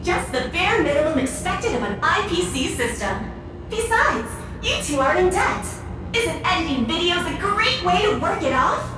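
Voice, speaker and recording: A teasing computer system. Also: female voice, very high-pitched, very animated, normal speed. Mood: smug. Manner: teasing, officious. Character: female computer system voice, mocking and bossy. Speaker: game character. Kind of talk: video game voice acting. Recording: roomy echo, distant, noisy.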